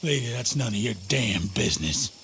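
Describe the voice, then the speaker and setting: An actor playing a sneering, remorseless Western outlaw villain in a video game, mocking and drawn-out. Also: male voice, mid-range voice, lively intonation, gravelly, very noisy. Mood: dismissive. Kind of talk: dramatic video game acting.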